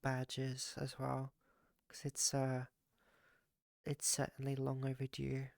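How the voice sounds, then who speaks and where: A streamer in casual chat with their viewers, voice raspy and gravelly from the morning. The delivery is flat and groggy, full of fillers and restarts. The sound is dry and close, with no room around it. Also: medium pitch, slow pace, no room sound. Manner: rambling, with frequent fillers and restarts. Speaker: live streamer.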